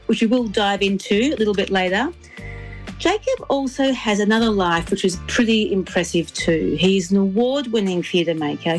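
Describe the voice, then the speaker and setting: A woman hosts a radio interview in a friendly, easygoing way. She often repeats her words as she works out a question. Faint music sits quietly behind her. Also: medium pitch. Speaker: radio show host.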